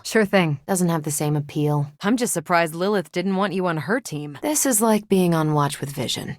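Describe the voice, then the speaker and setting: A woman's voice: fairly low pitch, dry recording, studio quality, close to an everyday voice. A woman voicing a confident, wry, friendly superhero in a video game, with a breezy, teasing, dryly self-assured delivery. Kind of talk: video game voice acting, conversational.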